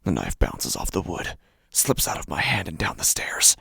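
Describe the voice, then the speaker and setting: A man acting a first-person horror audio drama as a paranoid, sleepless man full of dread, in a breathy, halting voice that often drops toward a whisper. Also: low voice, wildly swooping pitch, sharp tone, dry recording, studio quality, heavily acted. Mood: bitter, shaken.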